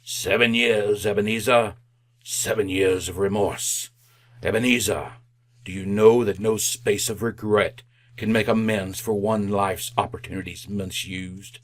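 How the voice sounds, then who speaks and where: A man's voice: fairly low pitch, fairly even intonation, a little slow, close to an everyday voice. An actor playing the mournful ghost of a dead businessman in an old-time radio drama, solemn, flowery and declamatory. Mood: mournful, remorseful.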